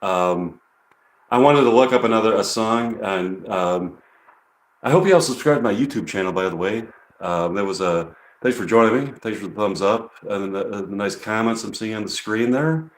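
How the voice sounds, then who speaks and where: A man from Chicago chatting hesitantly on a live stream between performing his songs, pausing to look things up. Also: on the low side. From Chicago, USA. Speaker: singer-songwriter performing live. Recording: echoey room with guitar behind. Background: guitar.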